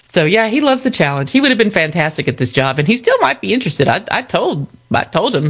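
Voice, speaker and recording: A woman's voice: medium pitch, quick pace. A woman co-hosting a morning radio show, telling stories in casual banter and laughing often. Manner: animated storyteller, laughs often. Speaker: radio co-host.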